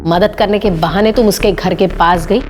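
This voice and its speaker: एक अभिनेत्री निभाती है आरोप लगाती महिला पुलिस अफ़सर. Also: female voice, medium pitch, steady tone, moderate pace, natural voice. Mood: accusing. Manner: accusing. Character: female police officer, accusing. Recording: music score underneath.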